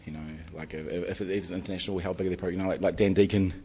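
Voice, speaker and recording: A man's voice: deep voice, steady tone, very fast, mellow, slightly rough. A man organising a music festival, talking in a rambling, enthusiastic way with lots of fillers. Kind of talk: casual interview.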